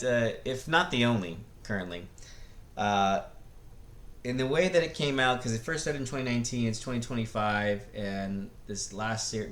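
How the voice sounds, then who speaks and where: A man giving casual, unscripted movie commentary as a video host, often halting to search for words. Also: fairly low pitch, fairly bright tone. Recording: echoey room, faint background noise.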